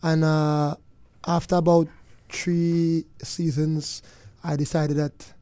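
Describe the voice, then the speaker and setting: A man's voice: fairly high pitch, flat delivery, takes it slowly, warm tone, clear, resonant tone, dry recording. A man, an interview guest and former player and coach, talking in an earnest, reflective way. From Trinidad and Tobago. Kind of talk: casual interview chat.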